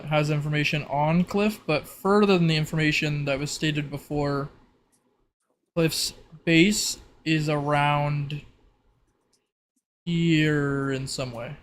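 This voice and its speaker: A man improvising narration as a tabletop game master in a halting way. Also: a little high. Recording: clearly noisy background.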